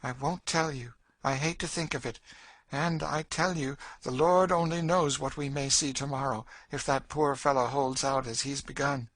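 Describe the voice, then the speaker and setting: A man's voice: medium pitch, steady tone, moderate pace, a bit bright, no room sound, voice pushed up. A man voicing a bitter, despairing, world-weary enslaved woman in an audiobook, dry and emphatic in manner. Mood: grim, foreboding. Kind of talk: audiobook character acting.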